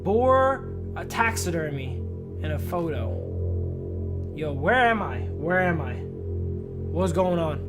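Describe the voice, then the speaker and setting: Casual woman streaming over clear music. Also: on the low side, sing-song, slow, long pauses. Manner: casual slangy asides. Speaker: game streamer. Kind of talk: live game stream, reading text aloud with casual commentary.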